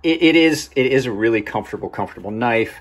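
A man in his forties with a slightly husky voice gives a casual, rambling product review, often repeating words and backtracking. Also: medium pitch, animated, fast talker. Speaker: host of knife review channel.

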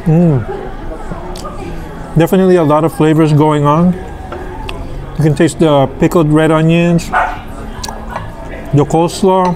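A man in his thirties reviewing restaurant food in a casual, halting way, full of fillers, on an outdoor patio with a camera on the table. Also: a little high, a crowd in the background, low-quality recording. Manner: slow, relaxed, halting with many fillers. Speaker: food vlogger. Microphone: camera on table across from him.

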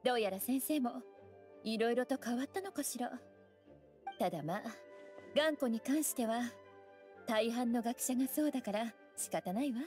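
A woman voicing a graceful, teasing young scholar mage in an anime game, with elegant, playful, unhurried phrasing. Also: a little high, a little mellow, close to an everyday voice. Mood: gentle, sincere. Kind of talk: Japanese anime game voice acting. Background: music.

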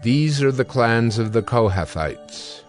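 Guy narrating bible audio drama, formal measured reading, medium pitch, music in the background. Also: animated. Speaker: scripture narrator.

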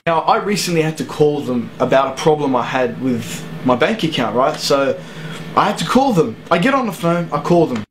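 An Australian man in his twenties delivers a comic storytelling rant. His pitch swings widely as he swears in exasperation, with faint room noise behind him. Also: very animated, moderate pace. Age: 20s. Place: small home office, indoors.

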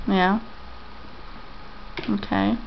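A woman's voice: medium pitch, sing-song, very slow, noisy background. Mom interviewing her kids on camera. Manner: repeats answers back, gentle questioning. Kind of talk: casual home interview. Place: family home, indoors. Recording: lo-fi home camera audio.

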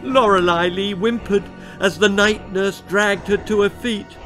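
A man's voice: high voice, fairly even intonation, unhurried, over music. A man in his sixties reading a children's horror story dramatically, savouring his words. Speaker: author reading own story. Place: small book-lined room indoors.

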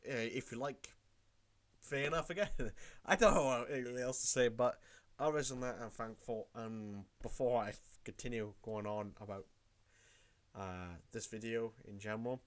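A man's voice: medium pitch, normal speed. A young man with a cold, rambling through a casual tutorial vlog from his room at home. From Wales. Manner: rambling, repeats himself. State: has a cold. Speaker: YouTuber.

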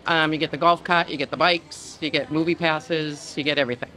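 A woman's voice: low-pitched, fairly even intonation, a little fast, bright, forceful tone. An older woman chatting casually as an interviewee on a restaurant patio, speaking into a close stand mic. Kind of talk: casual chat. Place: covered restaurant patio outdoors.

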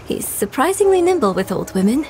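An actress playing an earnest, determined young apprentice in a dramatic fantasy game, with a direct delivery. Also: female voice, high-pitched, very animated, brisk, darkish tone, slight background noise.

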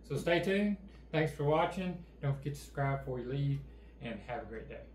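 A man's voice: medium pitch, lively intonation, fairly quick, a little mellow. A middle-aged man, co-hosting a casual channel chat with an easygoing, plainspoken manner. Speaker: co-host. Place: indoor room with game table and backdrop. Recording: echoey room, faint background noise.

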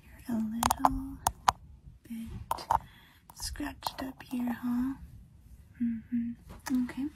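A woman's voice: fairly high pitch, level tone, extremely slow, pauses often, background noise. A woman in her twenties doing a soothing, reassuring ASMR role-play as a repair technician, murmuring in short fragments, close up in a bedroom. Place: bedroom, indoors. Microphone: close to the camera, leaning in.

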